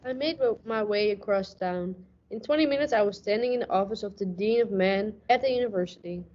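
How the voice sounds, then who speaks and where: A woman's voice: medium pitch, close to an everyday voice. Woman playing a hard-boiled radio detective, muffled sound. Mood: determined. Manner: dramatic, deliberate storytelling. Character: hard-boiled, brooding San Francisco police detective lieutenant. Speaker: radio drama actor. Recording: dull lo-fi audio.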